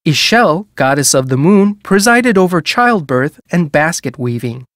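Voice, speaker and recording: An actor playing a museum audio guide, reading scripted educational narration for a video game in a measured, formal way. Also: male voice, a little high, big pitch swings, fairly bright tone, no echo, clean studio sound. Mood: formal, instructive.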